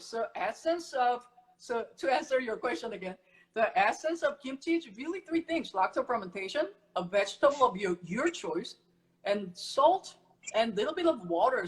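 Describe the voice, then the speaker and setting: A middle-aged woman from Korea talks through how she makes kimchi in a relaxed chat. Her voice sits a little high, and she gets lively as she explains with everyday comparisons. She sounds like a teacher sharing something she loves. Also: poor audio. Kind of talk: casual cooking chat.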